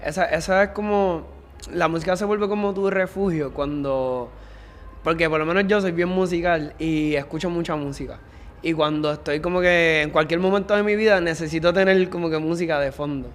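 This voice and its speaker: A man's voice: on the high side, normal speed. A man with a Puerto Rican accent hosting a casual interview in an informal, slangy way with frequent interjections. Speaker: interview show host.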